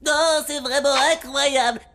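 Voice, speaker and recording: An actress playing an eager, inquisitive human girl in a children's cartoon, excitable and insistent. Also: high voice, unhurried, background noise. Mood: fascinated.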